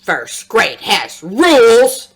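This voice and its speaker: A woman voicing a strict first-grade teacher in a storybook, firm and deliberate. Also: on the high side, wildly swooping pitch, slightly rough, full character voice. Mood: stern.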